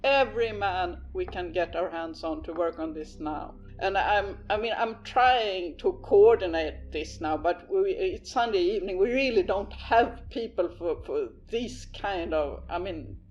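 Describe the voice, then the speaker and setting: A man's voice: high-pitched, highs cut off. Man playing a stammering, harried cop. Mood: urgent. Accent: Swedish. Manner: stammering, hurried. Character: harried male police officer on the phone.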